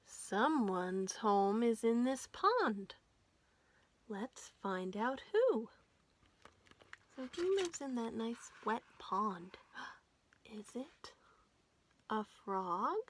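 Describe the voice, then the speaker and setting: A woman hosting a children's storytime, reading aloud in an animated, sing-song way and putting questions to young listeners. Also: fairly high pitch, drawn-out words, long pauses.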